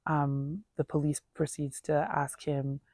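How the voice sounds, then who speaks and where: A woman's voice: deep voice, flat delivery, slow pace, a little mellow, dry recording. A woman telling a personal story in a casual, earnest, conversational way, voicing reported dialogue as she goes. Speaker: storyteller vlogger.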